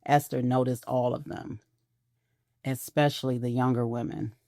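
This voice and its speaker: A woman narrates a scripted romantic audio drama. Her voice is low and dark-toned, and the recording is close and dry, with no room sound. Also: steady tone, normal speed, dry recording.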